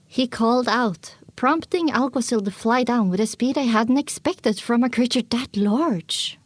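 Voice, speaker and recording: A woman's voice: a little high, a little slow, no room sound. A woman reading scripted first-person story narration for an audio drama.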